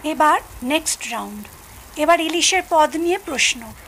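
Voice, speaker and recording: A woman's voice: sits high, animated, moderate pace, a bit bright, a little hiss or hum, rough recording. A woman acting as a young family member judging a friendly contest in an audio drama. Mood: playful, brisk.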